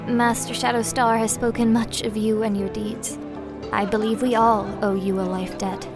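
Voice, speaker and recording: Woman acting a high-voiced, polite fantasy lady. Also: level tone, moderate pace, lightly acted. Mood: formal, gracious. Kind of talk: fantasy audio drama acting. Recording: music and crowd hubbub behind. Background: music, crowd chatter.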